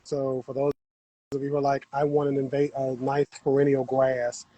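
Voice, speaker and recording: A man's voice: medium pitch, completely flat delivery, normal speed, dark tone, highs cut off, a little hiss or hum. A man hosting a casual gardening livestream, chatting with viewers as he works the soil on an outdoor patio. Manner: chatty, talks to viewers while working the soil. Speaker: host.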